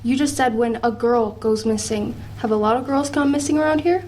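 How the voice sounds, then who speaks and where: Earnest woman playing a anxious teenage girl, higher-voiced. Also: a little fast, a little mellow, slight background noise, lightly acted. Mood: probing, uneasy. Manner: earnest, probing, hesitant. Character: earnest, persistent, anxious teenage girl narrating her ghost story. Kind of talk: audio drama acting and first-person narration.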